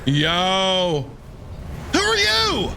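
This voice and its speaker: A man reacts to a video game in casual streamer talk. His voice is bright and lively, swinging widely in pitch, full of exclamations and playful exasperation. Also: very slow, gravelly, slight background noise.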